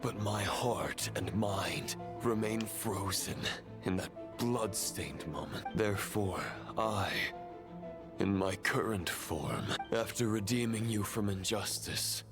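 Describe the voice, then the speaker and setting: Grim, slow-spoken fallen knight. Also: male voice, on the low side. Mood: solemn, resolute. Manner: solemn, archaic, declamatory. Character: grim fallen knight, cold, haughty, self-righteous tormentor. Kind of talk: dramatic video game voice acting. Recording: dramatic game music behind.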